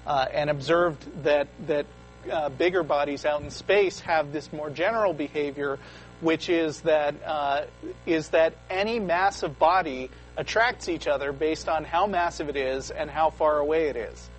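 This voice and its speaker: Halting higher-voiced man explaining science. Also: soft background music, rough recording. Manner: halting, many fillers and restarts. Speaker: co-host of call-in show. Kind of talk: casual explaining on a call-in show. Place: studio.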